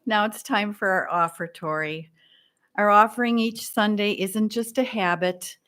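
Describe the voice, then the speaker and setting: A woman leading the service, introducing the speaker and the offertory in an unhurried manner. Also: mid-range voice, fairly bright tone. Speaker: worship leader.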